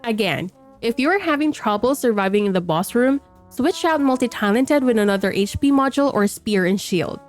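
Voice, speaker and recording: A woman's voice: on the high side, lively intonation, normal speed, hardly any pauses, faint music behind. A woman with a stuffy voice from a cold, explaining a game build in a matter-of-fact voice-over. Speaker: game guide creator.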